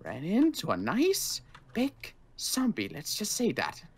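A man playing a weary, wary zombie-apocalypse survivor in an improvised game roleplay, halting, sarcastic and guarded. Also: high voice, huge pitch swings, vocal fry, breathy edge, full character voice.

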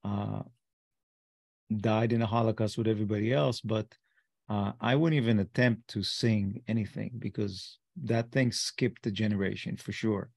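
A man's voice: on the low side, steady tone, moderate pace, gravelly, darkish tone. A middle-aged man with an Israeli accent hosts an interview over a video call from his home office. His voice sounds dry and close with no room echo. He asks loose, conversational questions. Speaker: host, interviewer. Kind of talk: casual interview talk.

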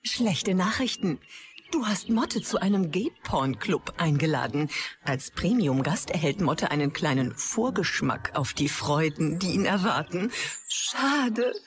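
An actress playing a gloating, sweetly spiteful enemy AI in a German sci-fi audio drama, taunting with mock cheer. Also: female voice, fairly low pitch, lively intonation, measured pace. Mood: mockingly sweet.

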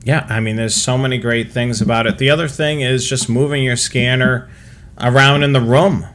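A man's voice: medium pitch, steady tone, moderate pace, a bit bright. A middle-aged man with an American accent, co-hosting a livestream and explaining things casually from a home setup. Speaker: co-host of a police scanner show. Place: small home room with equipment, indoors.

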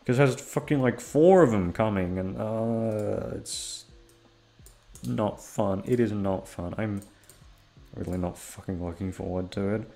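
A young man rambling in a casual chat, swearing often and jumping into high-pitched exclamations. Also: a little slow, mellow, soft background music. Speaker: audio roleplay creator.

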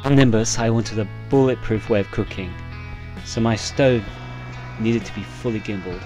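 A man narrates an informal how-to in a fairly low voice. He speaks haltingly, in short phrases, as if feeling for the next words. Guitar music plays clearly behind him. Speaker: narrator, solo sailor demonstrating a homemade stove.

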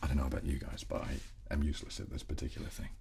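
A man's voice: very deep voice, monotone, racing, gravelly, darkish tone, slight background noise. A man in his thirties hosting a whispered ASMR chat in a polite, self-deprecating way, close to a studio microphone. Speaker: host. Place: small dark indoor studio. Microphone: close studio microphone at a table.

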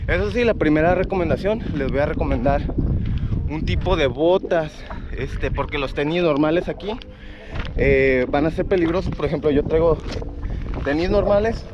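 A man's voice: on the high side, normal speed. A man in his thirties talking casually to camera as he climbs a trail, hesitantly searching for words. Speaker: vlogger. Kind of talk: casual hiking vlog to camera. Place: outdoors on an open hillside trail. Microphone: action camera held at arm's length. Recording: muffled outdoor mic, loud wind noise.

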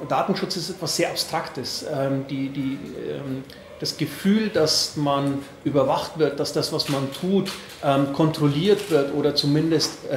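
A man in his forties with an Upper Palatinate accent, answering questions at a press conference in a halting way, with many fillers and restarts. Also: fairly high pitch, roomy sound, a little hiss or hum. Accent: Upper Palatinate, Franconian-tinged. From Bavaria, Upper Palatinate. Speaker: party board member at press conference.

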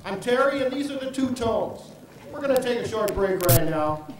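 A man's voice: very high voice, expressive, moderate pace. A man on stage playing an affable, easygoing lounge bandleader in a comedy. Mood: showy. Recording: very echoey theater, distant, noisy audience.

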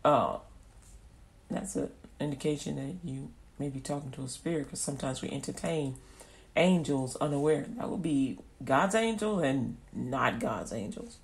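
A woman's voice: a bass voice, expressive, normal speed. A woman preaching an informal radio sermon, folksy and repetitive, acting out little scenes as she goes.

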